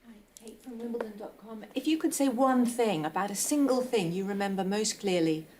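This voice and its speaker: Female reporter, mid-pitched, faint background noise. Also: fairly quick, darkish tone. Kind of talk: press conference questioning.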